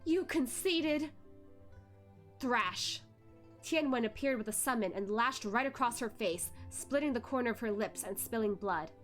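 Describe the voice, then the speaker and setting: A woman's voice: fairly high pitch, normal speed, a bit bright, faint music behind. A woman streamer reading a web novel aloud in dramatic narration.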